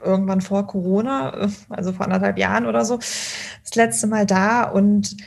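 A woman's voice: medium pitch, steady tone, moderate pace, gravelly, darkish tone. A woman in her thirties talking casually as an interview guest, chatty and full of fillers and restarts. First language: German.

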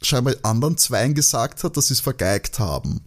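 A man chatting casually as a podcast co-host, joking and rambling as he thinks out loud. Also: mid-range voice, lively intonation, moderate pace. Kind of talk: casual chat, guessing along with an audio drama.

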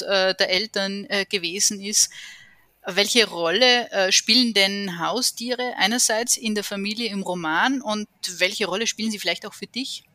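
Woman interviewer, bright voice, dry sound, calm careful questions. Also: medium pitch, no echo. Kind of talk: literary interview.

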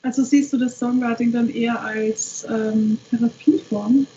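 Flat-voiced, mellow woman interviewer. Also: fairly high pitch, moderate pace, slight background noise. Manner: brief, calm questions and short affirmations. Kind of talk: interview questions. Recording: dull lo-fi sound.

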